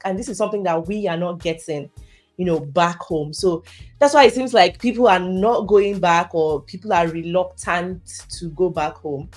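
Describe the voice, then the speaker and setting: A woman's voice: lowish voice, a little mellow. A woman hosts a conversational debate, explaining her points insistently. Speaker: host.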